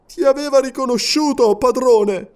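A man's voice: extremely high pitch, normal speed, darkish tone, smooth, pitched above the performer's own voice. An Italian man narrating an audiobook and voicing a deformed giant servant, loyal and bloodthirsty, who stammers frantically. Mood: anxious.

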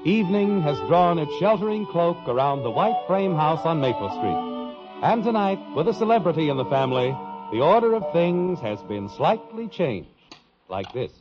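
A man's voice: a little high, sing-song, normal speed. An American man doing scripted 1950s radio announcing over music, on a dull old broadcast recording. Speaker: program announcer, narrator. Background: orchestral music.

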